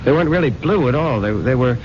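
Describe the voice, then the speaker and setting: A man's voice: medium pitch, expressive, fast talker, darkish tone, lightly acted. An actor playing an eager, insistent newspaper reporter in a 1970s radio drama, pleading his case earnestly. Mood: earnest, persuading. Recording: muffled 1970s radio tape, faint hiss.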